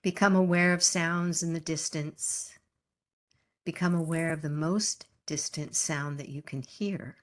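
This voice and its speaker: A woman teaching meditation in a low voice. She speaks very slowly and gently, leaving calm pauses between short instructions. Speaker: yoga nidra meditation teacher.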